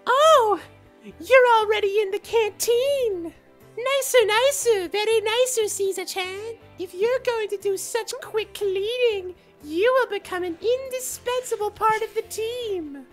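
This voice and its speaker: A cutesy schoolgirl from a comedic visual novel. The voice is extremely high and squeaky, with a cheeky delivery. Faint music plays behind it. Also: a little slow. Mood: chirpy, teasing.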